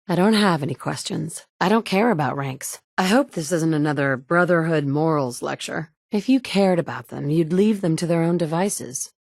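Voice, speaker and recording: A woman's voice: fairly low pitch, no room sound. An actress voicing a tough, blunt survivor mother in a game, speaking her lines with a dry, wry delivery. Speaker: player character voice.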